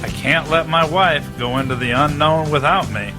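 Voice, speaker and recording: A man's voice: a little high, normal speed, lightly acted. A man playing a protective, worried husband in an audio drama, speaking earnestly and with emotion. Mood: resigned. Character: protective, worried husband, reluctant to leave his son. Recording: music under the voice.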